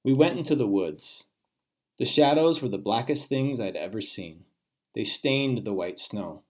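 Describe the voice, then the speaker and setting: A man's voice: mid-range voice, lively intonation, a little slow. Man reading a children's storybook aloud.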